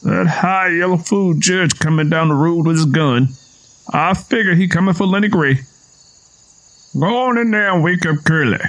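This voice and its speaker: A man's voice: fairly high pitch, moderate pace, a bit bright, pitched above the performer's own voice, low-quality recording. A man voicing a wary rural Southern father in an audio story, folksy and defensive as he talks. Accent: American South.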